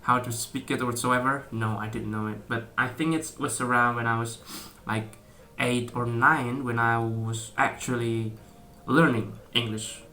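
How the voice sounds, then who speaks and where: Bright-voiced young Indonesian man, hesitant. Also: medium pitch, steady tone, measured pace, hardly any pauses. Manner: hesitant, many fillers and restarts, laughs often. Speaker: vlogger. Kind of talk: casual talk to camera.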